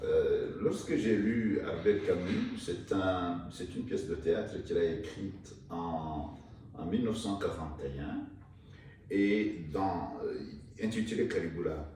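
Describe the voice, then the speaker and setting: A man from Rwanda, an author being interviewed, talking haltingly with many restarts and fillers. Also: deep voice, dark tone. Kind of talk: hesitant interview talk. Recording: echoey room, mic far off, faint noise.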